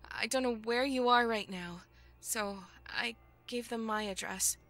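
A woman's voice: on the high side, a little slow, fairly bright tone. An actress playing an anxious, urgent woman friend on a phone call in a video game, in hiding and concerned.

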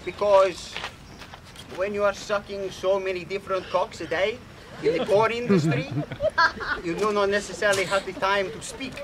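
A man's voice: sits high, a crowd in the background, pitched above the performer's own voice, natural voice. Man doing a boastful fake-Jamaican showman. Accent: put-on Jamaican. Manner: grand, theatrical, drawn-out declarations. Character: flamboyant self-important man, boastful showman. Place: outdoor beach stage at night. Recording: loud outdoor noise.

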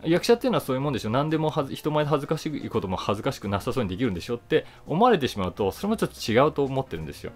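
A man's voice: mid-range voice, sing-song, rapid-fire, hardly any pauses, soft background music. A man in his forties thinking aloud in a casual, reflective monologue to camera, stressing key words and trailing off into asides. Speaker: actor and voice actor hosting his own channel. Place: small indoor room, seated before camera.

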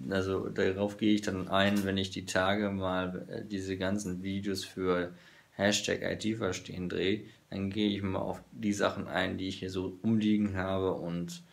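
A man in his thirties, hoarse, vlogging casually to a phone camera in a small room, halting with many fillers. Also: low-pitched. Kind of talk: casual vlog talk to camera. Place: small bedroom office indoors. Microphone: phone camera at arm's length.

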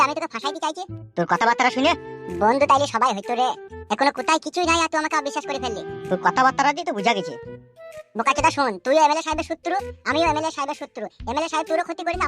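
A man's voice: extremely high pitch, lively intonation, rapid-fire, over music. A man voicing an anxious, foul-mouthed young village troublemaker in a comedy cartoon, bluntly arguing in a rural West Bengal accent. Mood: tense, bickering. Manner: blunt, argumentative, rambling.

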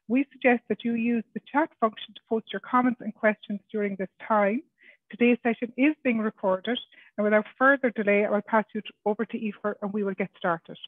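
A woman's voice: a little high, no room sound. A woman moderating a webinar, reading a formal introduction steadily. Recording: tinny phone-like call audio.

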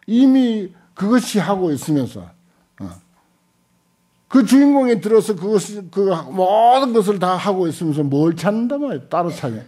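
An elderly monk, a man, teaches scripture in a soft, mellow voice pitched a little high. He speaks in short halting phrases into a desk microphone and repeats key points with emphasis. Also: husky. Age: older, 70s or above. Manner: unhurried, halting with frequent interjections, emphatic repetition. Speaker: Buddhist monk, lecturer. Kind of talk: Buddhist scripture lecture. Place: indoor lecture hall with seated audience. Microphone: desk stand microphones.

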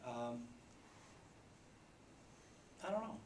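A man in his forties, a basketball coach, speaking at a postgame press conference in a subdued, halting way with many fillers. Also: medium pitch, sing-song. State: subdued after a loss. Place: small press room indoors. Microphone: table mic. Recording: echoey room, faint background hum.

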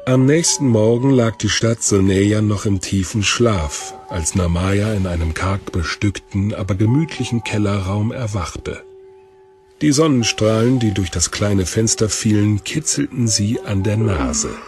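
Low-pitched male storyteller. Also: measured pace, darkish tone, soft background music. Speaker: narrator. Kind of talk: scripted narration of a German fantasy audio drama.

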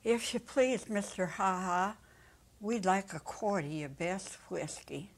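A woman's voice: mid-range voice, lively intonation, takes it slowly. An elderly woman voicing a timid, courteous old friend in a story reading. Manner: courteous, hesitant, careful.

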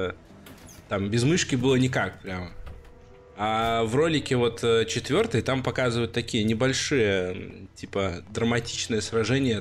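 A man co-hosts a casual game news chat with a relaxed, unhurried delivery. He pauses often and fills the gaps with hesitations. His voice sits on the low side. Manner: relaxed, many fillers and pauses. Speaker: co-host of a gaming news show.

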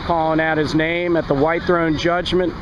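A man, a street preacher, recounting the day's encounters outdoors in an earnest, halting way with many fillers. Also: on the high side, little pitch movement, crowd noise. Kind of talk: casual report to camera. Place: roadside outdoors. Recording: muffled with loud traffic noise. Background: passing cars.

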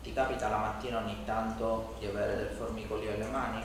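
A man's voice: fairly low pitch, level tone, quick pace. Male chiropractor, middle-aged, informal and reassuring while instructing a patient; roomy sound with slight noise. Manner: informal, reassuring, often checks with "ok?" and "no?". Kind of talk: explaining and instructing a patient during a treatment session. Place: indoor treatment room in a home-like studio. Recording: echoey room, some background noise.

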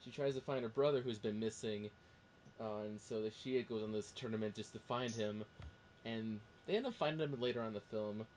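A man's voice: fairly low pitch, brisk, a little mellow. A man hosting a casual film discussion in a rambling, enthusiastic way. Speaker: podcast host. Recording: faint background noise.

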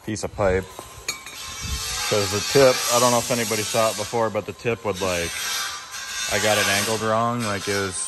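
A man's voice: lowish voice, steady tone, normal speed, long pauses, loud music behind the voice, rough recording. A young American man chatting casually in a laid-back, slangy way, like a truck vlogger. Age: young adult. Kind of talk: casual truck vlog chat. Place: inside a moving truck, outdoors, garage. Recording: loud vehicle and outdoor noise.

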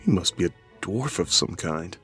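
A man's voice: low voice, animated, moderate pace, fairly bright tone, slightly rough, soft background music, full character voice. Curt, overprotective young husband acted by a man. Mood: suspicious. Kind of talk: horror audio drama acting.

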